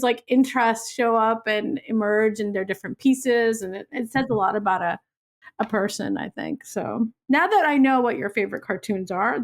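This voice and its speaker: A woman hosting a podcast interview, chatting casually with lots of "like" fillers. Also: a little high. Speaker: podcast host.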